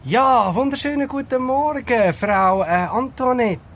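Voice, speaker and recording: A man's voice: very high voice, measured pace, fairly bright tone, slight background noise, heavily acted. A man playing a cocky, talkative police detective in an audio drama, with a Swiss German accent and a rambling, self-important manner. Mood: polite, chatty. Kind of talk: amateur audio drama acting.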